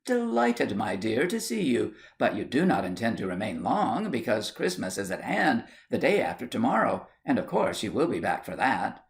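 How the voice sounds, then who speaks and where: A man's voice: fairly low pitch, very animated, moderate pace, gravelly, hardly any pauses. A man voicing a gossipy old aunt in a storybook tale, homely, rambling and dramatic. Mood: polite, brisk.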